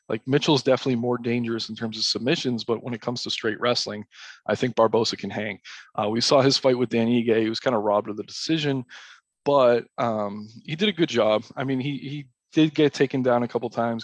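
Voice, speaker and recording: A man with a somewhat raspy, late-night voice chats casually through his analysis, pausing on ums and correcting himself as he goes. His pitch sits in the ordinary middle range. The sound is dry and close, with no room around it. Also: dry recording. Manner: loose and conversational, frequent ums and restarts. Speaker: DFS strategy host.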